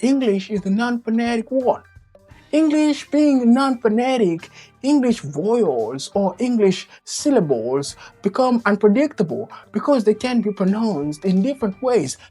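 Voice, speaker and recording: A man teaching English in a slow, measured and emphatic way, recorded from a distance. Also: sits high, animated, dark tone, faint music behind. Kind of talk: slow, deliberate lecture.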